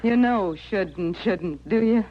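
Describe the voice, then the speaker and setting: A woman's voice: medium pitch, slow. An actress playing a starving, bitter and exhausted frontier woman in an old-time radio western, terse and defiant. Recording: muffled, noisy old radio recording.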